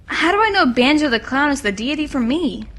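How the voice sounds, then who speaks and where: A woman's voice: high voice, expressive, brisk, fairly bright tone, a little hiss or hum. A woman voicing a savvy, playful young female rogue with a breezy manner in a comic cartoon fandub. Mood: shrewd curiosity. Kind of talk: animated comic fandub acting.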